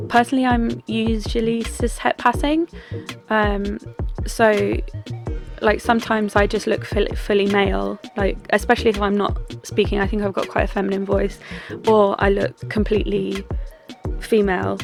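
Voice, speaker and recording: A young adult doll artist talking through their craft in a relaxed, chatty way, with plenty of ums and restarts. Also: female voice, mid-range voice, normal speed, gravelly. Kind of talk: casual vlog talk and craft voice-over. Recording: music bed under the voice.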